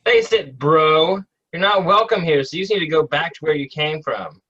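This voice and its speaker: A man's voice: fairly high pitch, normal speed, sharp tone, husky, highs cut off. Man playing James, cocky teen bully. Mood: taunting. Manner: taunting, dismissive. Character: cocky teenage male bully.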